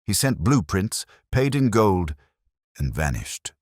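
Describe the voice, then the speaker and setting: A man's voice: low-pitched, very animated, slow pace, no echo, clean studio sound, pitched above the performer's own voice, heavily acted. A man voicing an exhausted, frightened foundry worker in an audio story, earnest and uneasy. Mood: defensive.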